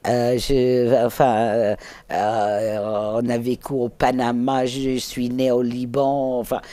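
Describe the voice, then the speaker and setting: Woman, first language Finnish, middle-aged, halting with fillers, warm mellow tone, unhurried. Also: a bass voice, flat delivery, dry recording. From England. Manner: hesitant, halting with many fillers. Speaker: interview guest, documentary filmmaker. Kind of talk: reflective interview storytelling.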